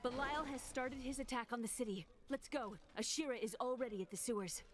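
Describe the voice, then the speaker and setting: Woman doing brave young game heroine, kinda high voice, earnest n urgent, music behind. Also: a little fast, close to an everyday voice. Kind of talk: dramatic video game acting.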